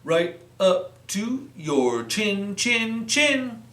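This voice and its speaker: Male children's storytime host, playful and encouraging, unhurried delivery, roomy echoing sound. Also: high-pitched, big pitch swings, a bit bright. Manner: encouraging, playful, simple repeated instructions. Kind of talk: sing-along storytime for young children.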